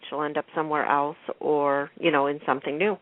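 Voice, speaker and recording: A woman's voice: low voice, level tone, a little fast, no echo. A woman chatting casually as a panelist on a radio show about television. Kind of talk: casual chat about TV soaps. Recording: thin phone-like radio line.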